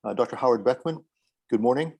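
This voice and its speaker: A man of middle pitch moderates an online round table, stumbling over repeated words as he hands the floor to each guest. He speaks in a polite, halting way. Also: a little mellow, slightly rough. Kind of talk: moderating a video panel.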